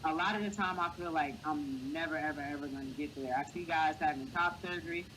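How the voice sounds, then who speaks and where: A man with a light, boyish voice, speaking earnestly as a guest in a casual interview and giving encouraging advice. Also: quick pace. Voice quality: high, boyish voice. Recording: clear background noise.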